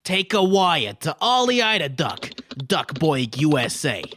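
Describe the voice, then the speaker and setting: A man's voice: fairly high pitch, sing-song, unhurried, fairly bright tone, a little hiss or hum. A man voicing a sneaky, smirking crook's henchman in a cartoon comic dub, sly and mock-folksy. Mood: smug.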